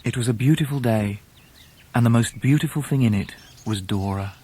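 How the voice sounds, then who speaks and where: An actor playing a genteel Victorian gentleman who narrates his youth in a radio drama, with an English accent and a measured, wry, literary manner. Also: medium pitch, husky, lightly acted. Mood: wry, fond. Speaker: narrator in character.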